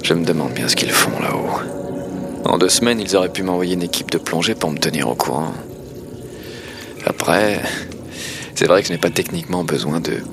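Music underneath, male actor as lonely undersea station keeper, low voice, subdued, measured, audio drama logbook. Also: lively intonation. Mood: subdued, brooding. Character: isolated male keeper of a deep-sea station. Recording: music behind the voice.

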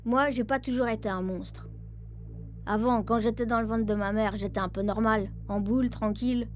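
Woman voicing a deadpan young boy over music. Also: a little high, moderate pace, close to an everyday voice. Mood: wry, matter-of-fact. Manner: casual, deadpan, self-mocking. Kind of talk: first-person radio fiction monologue, colloquial.

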